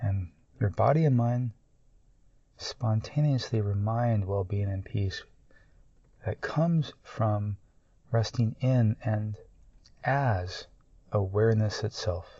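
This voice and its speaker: Slow, low-voiced man guiding meditation. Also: no room sound, highs cut off. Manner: calm, measured, long pauses between short phrases. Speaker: meditation guide. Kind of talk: guided meditation, slow and soothing.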